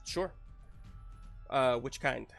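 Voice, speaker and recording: A man's voice: mid-range voice, extremely slow, gravelly, fairly bright tone, no room sound, faint music behind. A man running a tabletop roleplaying game, narrating casually and checking in with his players in an encouraging way.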